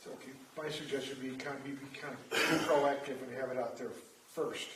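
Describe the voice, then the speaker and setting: A man calmly explaining suggestions at a village meeting. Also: mid-range voice, noisy background. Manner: calm, explanatory, measured. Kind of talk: reasoned public comment. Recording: roomy sound, mic far off.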